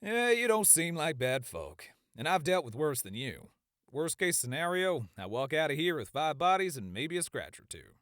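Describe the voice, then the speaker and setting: A man acts a tough, easygoing gunslinger in a fantasy audiobook. He is gruff and folksy, his pitch moving freely up and down in a dry, close recording. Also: very animated, moderate pace, a bit bright, no echo. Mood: casual, wry. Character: tough, laid-back male gunslinger. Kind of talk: audiobook character acting.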